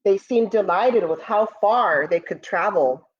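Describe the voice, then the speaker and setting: A woman's voice: mid-range voice, unhurried, husky, highs cut off. A woman reading a picture book to young pupils, expressively and with direct asides to her listeners. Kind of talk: children's read-aloud with asides.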